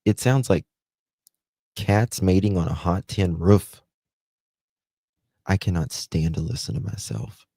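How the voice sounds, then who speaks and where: A man with a Southern US accent hosting a live stream, chatting slowly with viewers and reading their comments aloud. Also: low-pitched, dark tone, dry recording. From United States. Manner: slow, chatty, drawling, talks to viewers by name. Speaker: livestream host.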